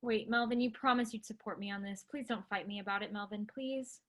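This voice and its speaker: A woman acting a bold, excitable young amateur matador in a play reading, animated, breezy and chatty. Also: medium pitch, a little fast, natural voice. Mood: pleading. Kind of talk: staged play reading, conversational.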